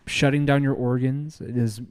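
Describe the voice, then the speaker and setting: A man co-hosting a call-in show in a conversational, polite, halting way. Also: medium pitch, fairly even intonation, darkish tone, clean tone.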